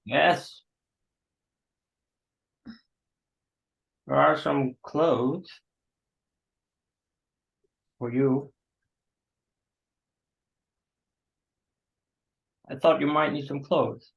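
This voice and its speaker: A man acting an awkward, apologetic host to a stranger in a staged play reading, halting and understated, on a dull recording. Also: a little high, creaky. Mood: awkwardly kind.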